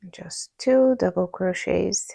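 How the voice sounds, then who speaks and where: A woman with a Hungarian accent walks through a crochet pattern step by step. Her voice sits low and mellow with a gravelly edge, and she speaks very slowly. The sound is close and dry, with no room around it. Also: no echo. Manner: slow, patient, talks through each stitch aloud. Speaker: crochet tutorial host. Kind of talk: step-by-step craft tutorial.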